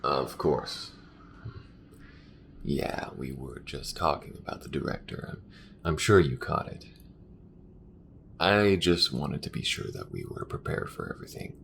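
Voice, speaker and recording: A man playing a weary, grudging but caring spaceship crewman in an audio roleplay, talking in a halting, conversational way. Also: very deep voice, gravelly, fairly bright tone, slight background noise, exaggerated voice. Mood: casual, earnest. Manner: halting, conversational, muttering at times. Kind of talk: intimate audio roleplay acting.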